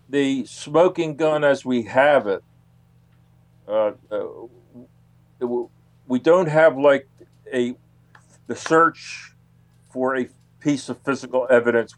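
A man answering as an interview guest on radio, haltingly searching for his words. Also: mid-range voice, fairly even intonation. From United States. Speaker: guest, UFO researcher.

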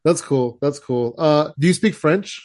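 An American man hosting a casual interview, easygoing and quick to agree. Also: a little high, a little mellow, dry recording. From United States. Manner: easygoing, says "hell yeah", laughs along. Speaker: host.

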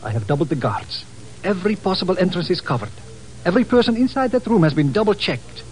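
High-voiced, earnest colonel. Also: male voice, lively intonation, fast, a little mellow, soft background music, low-quality recording. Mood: formal, earnest. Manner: formal, emphatic. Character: earnest, excitable Portuguese police colonel. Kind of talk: 1950s radio drama acting. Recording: old radio recording with hiss.

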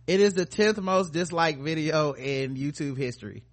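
A man's voice: fairly high pitch, normal speed. A man hosting a casual comedy podcast, reacting with incredulous, slangy, profane commentary. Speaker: podcast host.

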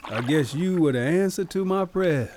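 A man's voice: on the high side, animated, darkish tone, a little hiss or hum, lightly acted. An actor playing a wounded, humble, devout man with a Southern US accent, weak and resigned, in a radio drama. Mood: humble, earnest. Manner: slow, resigned, folksy. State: weak, fading.

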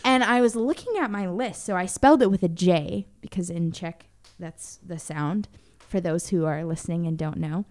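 Young woman, low-pitched, casual chatty co-host, loose and filler-heavy. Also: moderate pace, few pauses, darkish tone. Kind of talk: casual chat.

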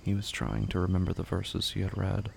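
A man narrating scripted horror fiction in a measured, somber, deliberate manner. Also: low voice, completely flat delivery, creaky, a little hiss or hum.

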